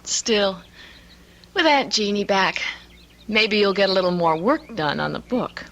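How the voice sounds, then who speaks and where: An actress playing a tender, emotional young newlywed wife in a radio drama, speaking earnestly. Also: female voice, mid-range voice, lively intonation, unhurried, fairly bright tone. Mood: happy, teasing. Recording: old radio broadcast with music.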